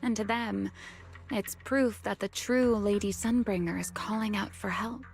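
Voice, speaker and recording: A sly huntress from a video game story speaks in a mid-pitched female voice, coy and playfully teasing. She keeps her secrets with unhurried poise, over faint background music. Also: fairly even intonation, vocal fry. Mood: amused, cryptic. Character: teasing, secretive, long-lived woman hunter with sly poise.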